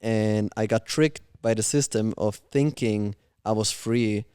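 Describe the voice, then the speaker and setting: A man's voice: fairly low pitch, measured pace, dark tone, smooth, no echo, clean studio sound. A man from Austria, a podcast guest talking bluntly and opinionatedly in casual chat. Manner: blunt, opinionated, swears freely.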